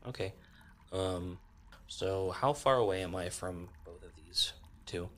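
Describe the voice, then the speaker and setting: A man's voice: low voice, a little slow, a bit bright, slight background noise. A man chatting casually as a player in a tabletop role-playing game.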